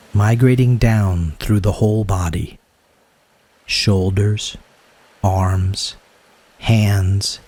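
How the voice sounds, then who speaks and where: A man guiding a yoga nidra meditation in a soothing, unhurried way, speaking in short phrases. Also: on the low side, a little mellow, breathy edge. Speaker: guided meditation teacher.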